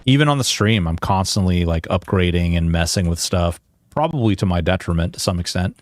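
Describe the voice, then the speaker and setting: Low-voiced man chatting casually on stream. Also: animated, dry recording, studio quality. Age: early 40s. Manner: informal, halting, mildly profane, self-deprecating. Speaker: game livestreamer. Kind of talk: casual rambling chat with viewers while gaming.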